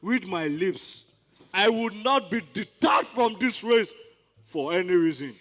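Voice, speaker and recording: A man performs an indignant, defiant royal rival in a Nigerian stage drama. The delivery is grandiloquent and swooping, very high in pitch, ringing through a reverberant hall. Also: lively intonation, unhurried, fairly bright tone. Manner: grandiloquent, alliterative insults. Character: defiant, indignant rival for the throne, proverb-spouting and bombastic.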